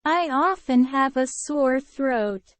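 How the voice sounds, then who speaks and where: A woman reading English phrases in a measured way for learners to repeat. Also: sits high, no echo. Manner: measured, clear drilling. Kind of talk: slow repeated phrase drills.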